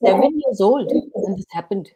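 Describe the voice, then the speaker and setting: A woman's voice: mid-range voice, animated, moderate pace, dark tone, husky. Earnest woman hosting an interview. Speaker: interview host. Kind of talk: interview questions.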